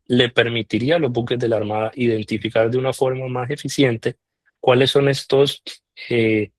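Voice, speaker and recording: A man from Colombia, answering interview questions in a measured way with frequent filler pauses. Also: mid-range voice, dry recording. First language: Spanish. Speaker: interviewed scholarship alumnus.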